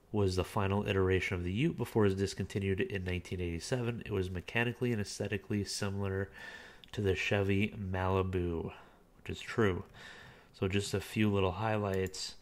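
A man with a low, deep voice chats his way through a car listing, relaxed and unscripted. He reads bits of the listing aloud and drops in plenty of filler words as he goes. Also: normal speed. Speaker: car auction reviewer. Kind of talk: casual screen-recorded commentary.

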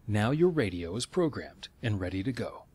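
A man reading scripted instructional narration in a measured, step-by-step way. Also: lowish voice, very animated, darkish tone, husky.

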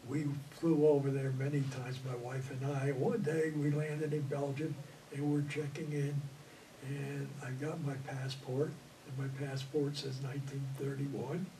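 A man's voice: medium pitch, warm tone. An older man, a Navy veteran, telling his memories in a rambling, chuckling way in an oral history interview. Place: indoor studio before green backdrop. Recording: distant mic, audible room noise.

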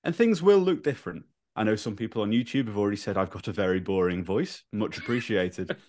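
A man's voice: lowish voice, expressive, fast, a little mellow. A young man co-hosting a podcast over a video call from home, speaking earnestly and steadily with a little nervousness. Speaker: podcast co-host. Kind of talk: casual podcast chat.

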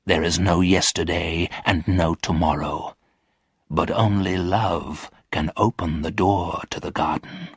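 A man voicing a lonely, sorrowful old ghost in a storybook, weary and solemn, with a husky voice. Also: low voice, fairly even intonation, no echo, clean studio sound, voice pushed down, exaggerated voice. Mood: wistful, hushed. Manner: slow, weary, solemn delivery.

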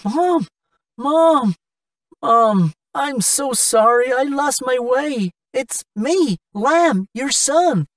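A man's voice: extremely high pitch, lively intonation, very slow, dry recording, recorded from a distance, exaggerated voice. A spoilt young cartoon gambler, played by a man, full of self-pity and remorse. He is whiny and dramatic, with swooping intonation. The recording is dry. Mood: anguished. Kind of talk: English-language cartoon acting.

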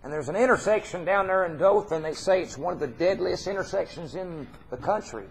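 A man's voice: high voice, brisk, creaky, a little hiss or hum, low-quality recording. A middle-aged man with a Southern US accent preaching an informal, folksy, earnest sermon, repeating phrases for emphasis. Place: church hall stage, indoors.

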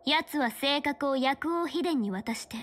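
An actress playing a stern young fortune-teller official in a game, speaking in a formal, measured, authoritative way. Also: female voice, high voice, a bit bright. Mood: serious, measured. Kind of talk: scripted game character dialogue in Japanese dub.

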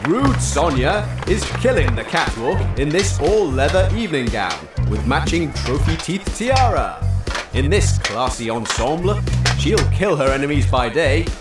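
A man's voice: fairly high pitch, sing-song, a little slow. A man playing a smug, theatrical fashion-show announcer in a comedic radio drama, with patronising sales patter. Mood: showy, salesy. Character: smarmy, showy male fashion-show compère. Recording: echoey, distant PA, music behind.

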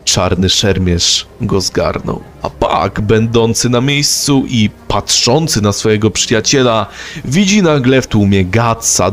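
Low-voiced man narrating a story. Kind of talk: scripted story recap narration.

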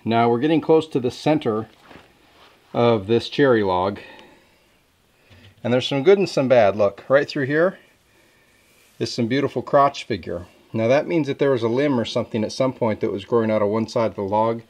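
A man's voice: mid-range voice, normal speed, a little mellow. A man, a sawmill owner explaining his work outdoors in a plainspoken, folksy way. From Southern Indiana. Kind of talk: explaining on camera.

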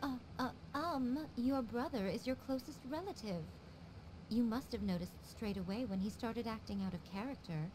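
An actress playing a sly, teasing fox shrine priestess who coolly threatens. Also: female voice, a little high, moderate pace, darkish tone, slight background noise, natural voice, low-quality recording. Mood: menacing.

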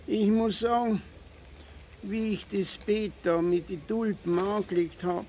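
Old bavarian janitor, highish voice, really slow and plaintive, kids audio drama. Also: male voice, fairly even intonation, a little mellow, a little hiss or hum. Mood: bitter, mournful. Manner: lamenting, drawn-out. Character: plaintive, aggrieved old male caretaker. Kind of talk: children's radio play acting.

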